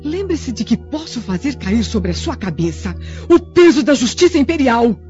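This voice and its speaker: A woman's voice: a little high, sing-song, normal speed, mellow. A woman playing a haughty, hot-tempered young Roman noblewoman in theatrical radio drama style, imperious and indignant. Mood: outraged, threatening. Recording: muffled lo-fi radio drama with music.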